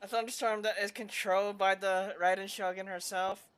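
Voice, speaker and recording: A woman's voice: mid-range voice, level tone, moderate pace, a bit bright, clean tone. A woman game streamer talking casually and reading dialogue aloud during a playthrough.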